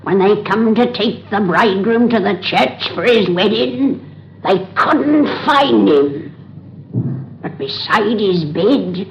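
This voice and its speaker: An actress playing a cackling old witch who tells the tale in a slow drawl, in a 1930s radio drama. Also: mid-range voice, a little hiss or hum. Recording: dull old radio recording, roomy.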